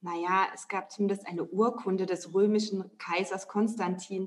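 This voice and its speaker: A woman co-hosting an educational podcast, reading measured introductions and questions. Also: lowish voice. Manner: measured, reads guest introduction. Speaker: podcast co-host. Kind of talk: scripted podcast moderation.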